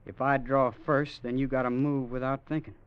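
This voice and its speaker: An actor playing a grim young gunfighter in a radio western, speaking in deliberate, clipped phrases. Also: male voice, medium pitch, little pitch movement, smooth, natural voice. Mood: cold menace. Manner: deliberate, clipped, menacing pauses. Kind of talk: 1950s radio western acting. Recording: muffled old-time radio recording.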